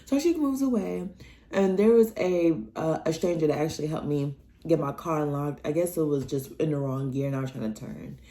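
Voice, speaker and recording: A young woman from Nebraska telling personal stories in a casual vlog, acting out the dialogue with big jumps in her voice. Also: brisk. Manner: animated, acts out dialogue with big pitch jumps. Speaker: vlogger.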